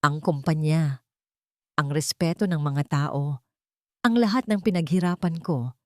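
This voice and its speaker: A woman's voice: low-pitched, a little slow, dry recording, studio quality. A woman reading as a remorseful fallen rich wife. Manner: soft, broken, pleading.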